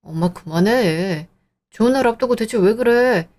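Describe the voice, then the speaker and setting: A woman voicing a young man, a fiancé who soothes and smooths things over in an audio drama. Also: fairly high pitch, animated, moderate pace, darkish tone. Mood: placating. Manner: soothing, placating. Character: young adult man, gentle peacemaking fiancé.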